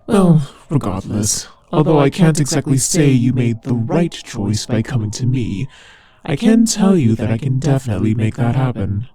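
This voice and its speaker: A man's voice: medium pitch, expressive, moderate pace, warm tone, natural voice. A man playing a teasing, sardonic demon ruler of hell in a scripted roleplay audio drama, with a conversational, theatrical delivery. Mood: curious, gracious.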